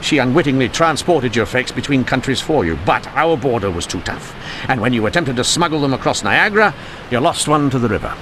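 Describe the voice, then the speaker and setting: An actor playing a witty, dogged 1890s police inspector in a wry, theatrical radio mystery style. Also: male voice, mid-range voice, expressive, fairly quick, background noise, lightly acted. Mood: confident, accusing. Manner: wry, theatrical, formally polite. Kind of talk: old-time radio mystery acting.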